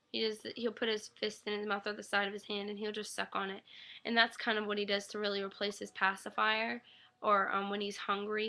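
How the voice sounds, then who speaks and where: A young woman recovering from an illness, her voice a little raspy, rambling casually about her newborn son with lots of ums. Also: medium pitch, pushed and bright. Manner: rambling, many ums, coos baby talk to her infant. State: getting over an illness. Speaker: new mother vlogger. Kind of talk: casual webcam update. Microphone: webcam mic.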